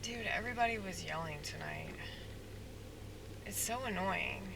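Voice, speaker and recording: A man rambling in a soft-spoken, halting way, full of fillers, as a stand-up comedian musing aloud. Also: high-pitched, expressive, gravelly, a little hiss or hum, rough recording. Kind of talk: rambling casual monologue.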